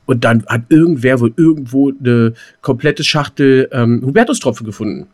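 A man's voice: mid-range voice, normal speed, darkish tone. A man co-hosting a podcast, telling anecdotes in a rambling, colloquial, casual way. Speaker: podcast co-host.

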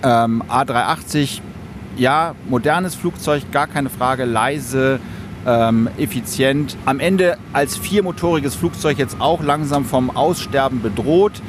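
A man, an airline pilot, giving thoughtful, measured explanations in an interview. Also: medium pitch. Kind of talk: interview answers, explanatory chat. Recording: clear vehicle-like ambient noise.

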